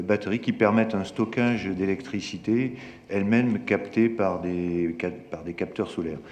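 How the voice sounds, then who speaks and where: Male corporate panelist, low pitch, halting formal delivery, roomy sound with some background noise. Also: darkish tone. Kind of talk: formal business presentation at a forum. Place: large conference room at a forum. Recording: echoey hall, reverberant, some noise.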